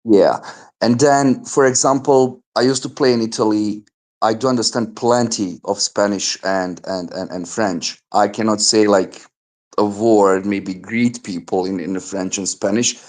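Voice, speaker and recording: A man whose first language is Bosnian, talking casually on a show. His speech is halting and full of fillers as he searches for words. His voice sits fairly low. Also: fairly even intonation, darkish tone. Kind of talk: casual conversation.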